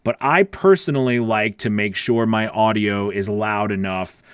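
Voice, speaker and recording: A man's voice: on the low side, unhurried, clear, resonant tone, no echo, clean studio sound. A middle-aged man hosting a casual livestream, chatty and rambling in an informal, friendly way as he answers viewers. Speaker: host, voice-over coach. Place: small home recording studio. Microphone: close broadcast mic on boom arm.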